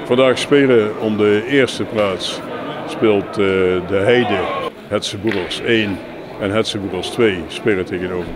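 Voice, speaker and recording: A man speaks informally, as if explaining things to an interviewer. His delivery halts now and then, and clear background noise from a busy venue surrounds him. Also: fairly low pitch. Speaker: jeu de boules club representative being interviewed. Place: busy sports hall, on location.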